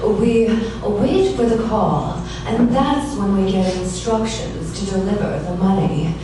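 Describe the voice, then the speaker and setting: A woman's voice: mid-range voice, slow pace, close to an everyday voice. A woman playing an anxious, genteel, wealthy client in a noir radio play, nervous, hesitant and fussily polite. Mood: anxious, pleading. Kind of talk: noir radio theater acting. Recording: echoey, distant, noisy stage recording.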